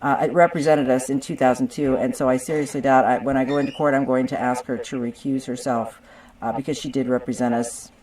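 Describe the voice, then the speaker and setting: A woman's voice: rumbling low, fairly even intonation, a little fast, a little mellow. A woman on a conference call, picked up at some distance from the mic. She is combative and insistent, arguing her own legal case point by point in a contentious discussion.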